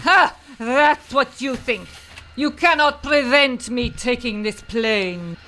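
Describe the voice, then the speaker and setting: A woman's voice: fairly high pitch, slow pace, bright, forceful tone, slight background noise. A woman in an amateur comic radio play voicing a scheming Soviet spy disguised as a nun, barking orders in a put-on heavy Russian accent. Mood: defiant.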